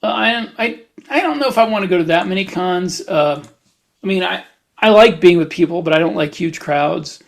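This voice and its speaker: A man with a fairly high, gravelly voice talks casually on a podcast. He rambles in a halting way, often doubling back on his words as he goes. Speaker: podcast host, writer. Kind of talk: casual chat.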